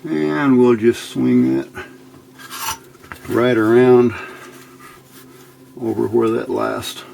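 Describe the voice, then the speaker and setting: Gravelly man thinking aloud at a workbench. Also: mid-range voice, steady tone, drawn-out words, dark tone, a little hiss or hum. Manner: unscripted, muttering to himself while working. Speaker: electronics hobbyist, channel host. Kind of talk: casual hands-on build tutorial, thinking aloud. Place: indoor workbench.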